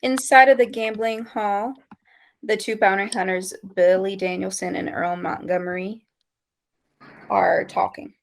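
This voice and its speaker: Creaky-voiced woman reading stage directions. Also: mid-range voice, little pitch movement, unhurried. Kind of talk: scripted narration at a table read.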